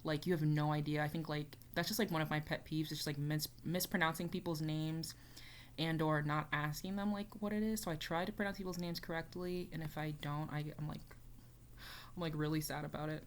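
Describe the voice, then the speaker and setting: A woman's voice: sits low, fairly even intonation, fairly quick, slight background noise. A young woman chatting casually as she reacts to a music video, rambling with lots of fillers. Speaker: reaction YouTuber.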